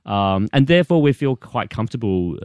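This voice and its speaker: A man's voice: mid-range voice, animated, brisk, darkish tone, dry recording. A young man from Australia, a guest telling frank, funny stories in casual chat.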